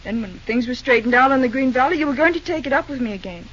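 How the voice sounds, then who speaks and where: An actress playing an earnest, modest, frank young railroad company woman in old-time radio drama, with halting, theatrical delivery on an old recording. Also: high-pitched, lively intonation, fairly bright tone, highs cut off. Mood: teasing, exasperated.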